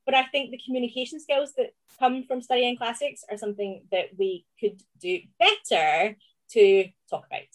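A woman with a Glaswegian accent, speaking as a classics researcher in a chatty, animated interview and laughing often. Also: fairly high pitch, normal speed. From Scotland. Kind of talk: conversational podcast interview.

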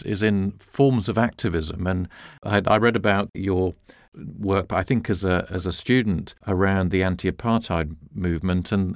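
A man with a deep voice asks interview questions in a conversational way. He is hesitant and tentative, often restarting his phrases, and the sound around his voice is very dry. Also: dry recording.

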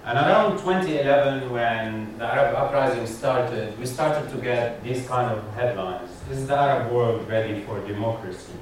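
A man's voice: mid-range voice, normal speed, hardly any pauses. Lebanese guy giving a funny talk, dry jokes, echoey hall, mic kinda far off. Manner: wry, joking asides to the audience. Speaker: guest speaker, satirist. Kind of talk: humorous public lecture. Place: lecture hall with audience. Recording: echoey hall, distant mic, audience noise.

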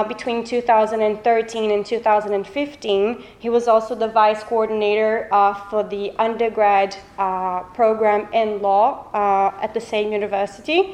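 A woman's voice: fairly high pitch, a little mellow. A woman from Brazil giving a semi-formal introduction of a guest speaker as a student chair.

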